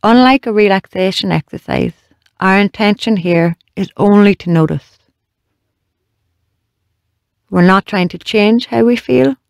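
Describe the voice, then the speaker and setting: Creaky-voiced meditation guide, close and dry. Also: mid-range voice, no echo. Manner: slow, measured, gently instructing with long pauses. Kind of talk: guided body scan meditation.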